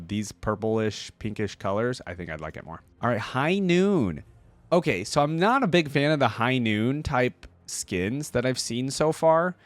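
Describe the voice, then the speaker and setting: A man's voice: fairly low pitch, no room sound. A man giving casual, enthusiastic reaction commentary as a video host, informally thinking aloud as he goes.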